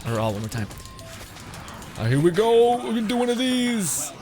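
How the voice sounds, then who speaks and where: A man's voice: high voice, big pitch swings, normal speed. A young man giving casual gameplay commentary as a streamer, rambling, interrupting himself and swearing casually. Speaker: game streamer. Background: game music.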